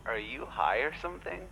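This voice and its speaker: A man's voice: mid-range voice, vocal fry, sharp tone, heavily acted. A man in an audio drama playing a laid-back, sceptical food stocker with slangy talk. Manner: slangy, casual. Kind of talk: naturalistic audio drama acting. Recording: noisy ambient background.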